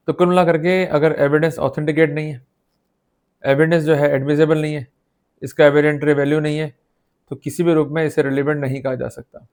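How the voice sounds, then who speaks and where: A man with an Indian accent and a slightly high voice, speaking as a lecturer. He explains things step by step in an instructive, emphatic way, often posing short questions to the students and mixing in English phrases. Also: darkish tone. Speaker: law lecturer.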